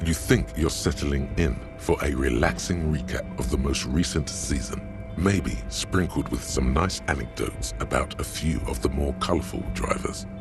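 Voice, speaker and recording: An actor playing the dry, erudite inner voice of a detective's mind in a video game, measured and drily ironic, with a gravelly tone. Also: male voice. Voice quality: very deep, gravelly. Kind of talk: scripted narration of a video game. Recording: music behind. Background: game music.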